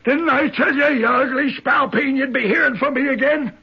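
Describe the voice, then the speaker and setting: An actor playing a gruff male prisoner sidekick in a 1970s radio drama, with a brogue-tinged, growling delivery. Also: extremely high pitch, normal speed. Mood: gloating. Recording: muffled old radio broadcast with hiss.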